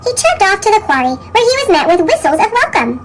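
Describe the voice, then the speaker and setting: A woman reading a children's story aloud in a squeaky, pitch-shifted chipmunk voice. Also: big pitch swings, fast talker, soft background music. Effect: sped-up chipmunk pitch shift. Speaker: storybook reader.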